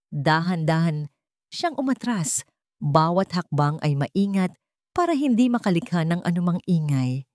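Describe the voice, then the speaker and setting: A woman narrates a dramatic story in a slightly low voice. The sound is dry and free of room echo, as in a scripted audiobook. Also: a little mellow.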